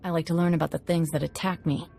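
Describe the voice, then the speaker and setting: A woman's voice: low-pitched, level tone, quick pace, creaky, mellow, faint music behind. A woman playing a tough, cocky convict hacker in a game cutscene, confident and matter-of-fact. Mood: confident, businesslike. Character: tough, cocky female convict computer slicer.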